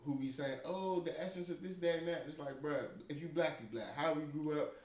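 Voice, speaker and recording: A man's voice: a little high, fairly quick, darkish tone, recorded from a distance. Rambling man, thirty, off-mic echo. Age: 30s. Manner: rambling, argumentative, profane reasoning. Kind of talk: casual chat. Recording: echoey room, away from the mic.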